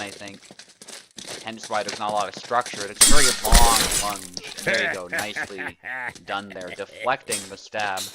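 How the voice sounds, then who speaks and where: A man giving live commentary on a fencing video game tournament, calmly explaining technique as the fights play out. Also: on the low side. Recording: loud background noise.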